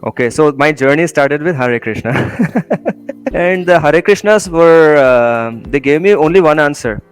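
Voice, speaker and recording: A young man from India talking through his own story in a casual interview, halting with many fillers and small laughs. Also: a little high, animated, clear, resonant tone, faint music behind. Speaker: guest.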